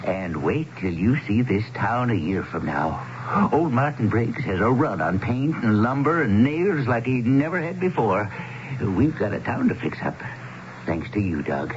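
Animated, folksy old townsman. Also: fairly low pitch, unhurried. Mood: hearty, grateful. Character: grateful, folksy aged small-town man, heartily welcoming. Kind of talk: 1970s radio drama acting. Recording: hissy, dull 1970s radio broadcast.

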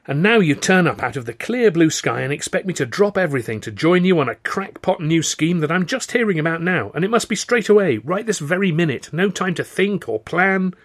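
A man voicing a sharp, teasing young mother in an audiobook, easily exasperated. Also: mid-range voice, very animated, brisk. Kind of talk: audiobook character dialogue.

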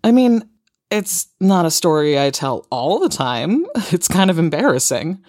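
A woman's voice: lowish voice, very animated, a little slow, darkish tone, no echo, clean studio sound. An actress playing a practical, dismissive woman podcaster with an American accent, casual and matter-of-fact in a naturalistic audio drama. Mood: dismissive, reassuring.

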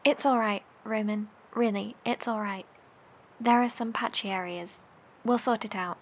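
A woman playing a steady coordinator who talks to her team over a radio, calm and reassuring. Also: medium pitch, a little slow, lightly acted. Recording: noisy recording.

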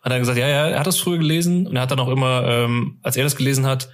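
A man's voice: medium pitch, steady tone, rapid-fire. Casual, rambling man in conversation. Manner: colloquial, rambling, often amused. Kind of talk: casual interview chat, telling personal anecdotes.

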